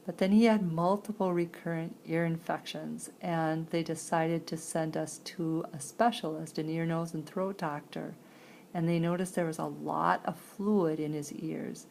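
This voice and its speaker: Creaky low-voiced woman telling a personal story. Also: steady tone, unhurried, a little mellow, a little hiss or hum. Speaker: sign-to-voice interpreter.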